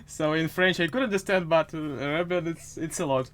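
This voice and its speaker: A man in his twenties with a Ukrainian accent hosting a casual interview in hesitant, halting English. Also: fairly high pitch, a bit bright, a little hiss or hum. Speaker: podcast host, interviewer.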